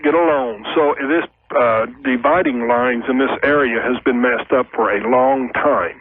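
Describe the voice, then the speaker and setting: A man's voice: medium pitch, a little slow, no echo. A man calling a radio show, explaining his problem in a folksy, rambling, hesitant way. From United States, Oklahoma. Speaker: call-in radio caller. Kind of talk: casual explaining of a personal problem. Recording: tinny noisy phone line on radio.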